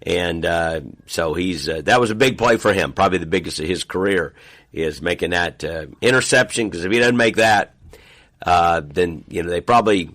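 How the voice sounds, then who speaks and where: Deep-voiced, slightly hoarse pastor. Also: male voice, normal speed. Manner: relaxed, trailing off with fillers. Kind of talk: casual podcast chat.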